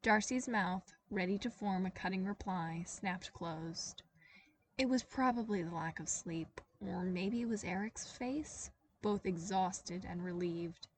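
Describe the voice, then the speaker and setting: A woman narrates a story aloud with a lively, expressive delivery at a mid-height pitch. The voice sits a little away from the mic. Faint hiss runs underneath. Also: a little slow, distant mic. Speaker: podfic reader.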